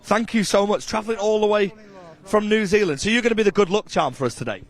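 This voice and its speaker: A man's voice: sits high, fast. A man working as a roving presenter, chatting directly and upbeat with fans in live outdoor interviews. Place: outdoors outside a stadium.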